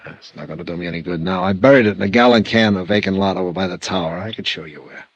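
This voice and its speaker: Low-voiced, cynical American arsonist. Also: male voice, very fast, dry recording, lo-fi sound. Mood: resigned, cynical. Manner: sullen, slangy, tough-guy. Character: cornered, cynical professional arsonist, grown man. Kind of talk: 1950s radio crime drama acting.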